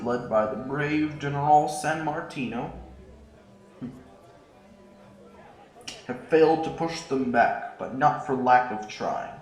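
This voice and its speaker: A man's voice: mid-range voice, lightly acted. A man playing a haughty, paranoid revolutionary politician in a sci-fi audio drama, speaking in formal, pompous oratory. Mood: grave oratory. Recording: roomy, echoing sound.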